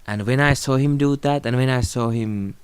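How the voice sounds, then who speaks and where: A young man from Finland, a native Finnish speaker, talking calmly and thoughtfully to camera in a small room, without a script. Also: mid-range voice, normal speed, clear, resonant tone, no echo. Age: young adult. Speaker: video creator. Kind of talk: unscripted tribute talk to camera.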